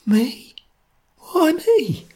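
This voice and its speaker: A man voicing a panicky, cowardly male anarchist, stammering and squeaking in fright. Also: very animated, unhurried, exaggerated voice. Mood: terrified.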